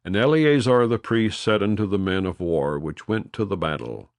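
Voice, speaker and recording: A man with a fairly low voice reads scripture solemnly as an audiobook narrator. His phrasing is measured and formal, yet his pitch rises and falls widely. The sound is dry and close, with very little room in it. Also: expressive, dry recording.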